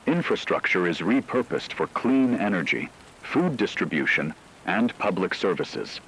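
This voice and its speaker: A man playing a radio news anchor in a doomsday broadcast, reading scripted news in a formal, measured way over noise. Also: low-pitched, big pitch swings, fairly bright tone, heavily acted, poor audio. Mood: grave, measured.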